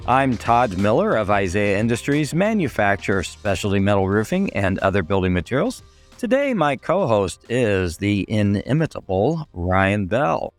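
Raspy-voiced man hosting a podcast. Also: on the low side, sing-song, moderate pace, faint music behind. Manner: friendly, rambling with many fillers. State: hoarse. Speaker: podcast host. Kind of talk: casual podcast chat.

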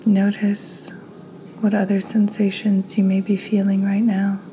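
A woman hosting and guiding a meditation in a calm, gentle, soothing manner, her soft delivery often slipping toward a breathy near-whisper. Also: mid-range voice, unhurried, soft background music, rough recording. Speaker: podcast host, meditation guide. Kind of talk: guided meditation, slow and soothing.

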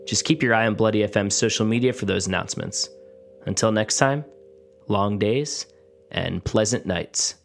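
A man's voice: lowish voice, normal speed. A man hosting a podcast, reading a scripted outro with promotional announcements. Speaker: podcast host. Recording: clear music bed under the voice.

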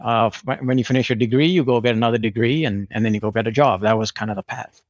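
A man in his thirties, originally from India, talks through his answers as an interview guest, often stopping and starting again. His voice has a middle pitch, and the recording is dry and close. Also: fast talker, no room sound. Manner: hesitant, many restarts and fillers.

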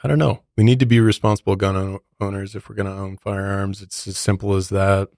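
A man's voice: deep voice, level tone, fairly quick, darkish tone, no echo, clean studio sound. An American man co-hosting a podcast, chatting casually in conversational language. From United States. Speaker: podcast co-host.

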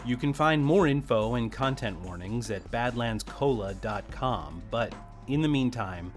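A man's voice: mid-range voice, lively intonation, unhurried, soft background music. A man reading a scripted promotional introduction as a podcast host.

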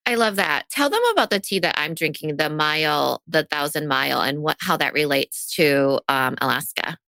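A woman's voice: low voice, moderate pace, gravelly, bright, forceful tone, no room sound. A woman co-hosting a casual interview in an enthusiastic, encouraging way. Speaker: interview co-host. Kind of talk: casual chat.

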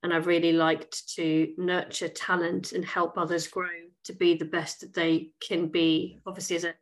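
A woman's voice: on the low side, flat delivery, moderate pace. A woman in her forties answering interview questions thoughtfully and steadily, with occasional fillers. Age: 40s. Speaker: guest, chief executive.

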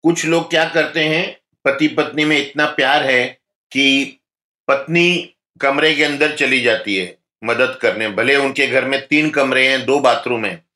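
A man speaking as a doctor, giving calm, instructive health advice in an interview. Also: medium pitch, measured pace, roomy sound.

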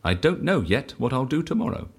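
A man's voice: medium pitch, sing-song, fairly quick, breathy edge. An actor playing a mild, compliant man in an audio drama, speaking politely in a literal, matter-of-fact manner. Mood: calm, matter-of-fact. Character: mild, compliant man who obeys dice.

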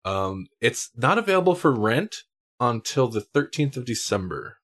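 A man's voice: mid-range voice, very animated, moderate pace, fairly bright tone. A man hosting a casual film discussion on a podcast. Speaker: podcast host.

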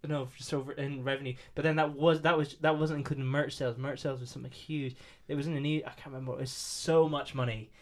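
A man with a nasal voice, co-hosting a podcast with dry, deadpan jokes. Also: mid-range voice, quick pace. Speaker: podcast co-host. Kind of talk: casual chat.

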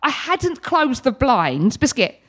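Female British storyteller, high-pitched and slow-paced, with frequent verbal tics breaking into her lines. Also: big pitch swings, fairly bright tone. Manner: frequent involuntary vocal tics interjecting stray words mid-sentence. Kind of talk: live personal storytelling.

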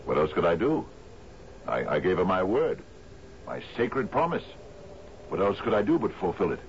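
A gravelly, very deep-voiced sea captain broods in a radio mystery play. He speaks in halting, formal phrases, weighed down by grief. The old broadcast is muffled, with clear noise over it. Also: big pitch swings. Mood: defensive. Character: troubled, grieving sea captain, master of an island house. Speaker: actor in a radio mystery drama. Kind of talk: 1970s radio drama acting.

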